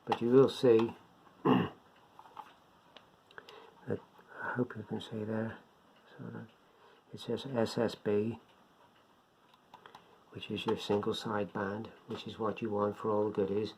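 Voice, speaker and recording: An older man giving a casual, unscripted product demonstration, talking haltingly as he thinks aloud. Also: fairly low pitch, little pitch movement, creaky, a little mellow. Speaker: presenter of a beginners' radio hobby video. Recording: dull lo-fi sound, faint background noise.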